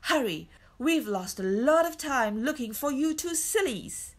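A woman's voice: a little high, sing-song, normal speed, breathy edge, voice pushed up. A woman voicing a scornful, impatient man in a children's storybook, brusque and dismissive.

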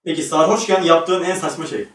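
A man's voice: on the high side, brisk, a bit bright. A man in his thirties co-hosting a talk show with casual, joking banter. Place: small studio room at a table. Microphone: shared table microphone. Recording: echoey, distant mic, audible chatter behind.

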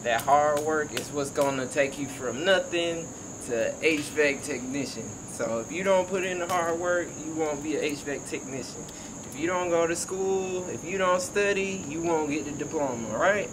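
High-voiced young man, emphatic. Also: moderate pace, background noise. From Georgia, United States. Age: young adult. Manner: animated, slangy, emphatic. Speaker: HVAC technician and vlogger. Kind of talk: casual motivational vlog talk. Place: outdoors by a house.

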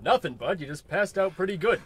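A man plays a blunt alley hobo from a radio comedy, slangy and streetwise. The voice is high and rough-edged. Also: animated, brisk, dry recording. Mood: dismissive. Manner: slangy, casual. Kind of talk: old-time radio comedy acting.

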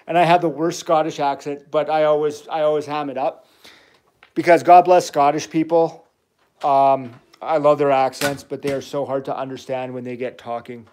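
Chatty dad hosting a toy livestream. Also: male voice, on the high side, a little mellow. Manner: enthusiastic, chatty, rambling toy talk. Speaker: toy channel host, dad. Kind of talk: casual livestream chat with his child.

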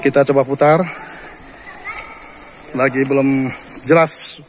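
A man's voice: mid-range voice, level tone, takes it slowly. A man talking casually to his viewers while walking and climbing through a cave, filming on a handheld phone. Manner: chatty, commenting while climbing. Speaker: vlogger. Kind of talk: casual travel vlog narration. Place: outdoors in a cave and on stairs. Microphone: handheld phone camera. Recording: outdoor recording with background noise.

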